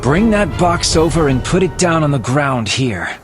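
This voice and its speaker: An actor playing a cold, suspicious gentleman who makes measured threats in a video game cutscene. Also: fairly high pitch, big pitch swings, music in the background. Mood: menacing.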